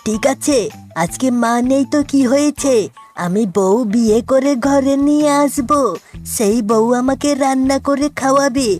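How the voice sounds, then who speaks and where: A woman's voice: high-pitched, normal speed, a little mellow, natural voice. A woman voicing a cheeky, aggrieved young son in a cartoon, whiny and exclamatory. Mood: indignant. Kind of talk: cartoon dialogue dubbing. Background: music.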